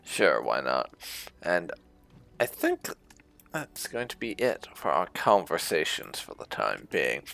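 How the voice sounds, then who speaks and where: A man's voice: very deep voice, big pitch swings, slow, creaky, pushed and bright. A man hosting a video game playthrough with casual commentary, musing to himself as he goes.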